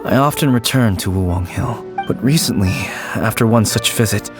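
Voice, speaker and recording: A man playing an anxious, mournful, haunted character in a video game, talking in a hesitant, halting way. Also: lowish voice, music in the background, lightly acted. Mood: wistful, uneasy. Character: anxious, mournful, soft-spoken man haunted by childhood friend.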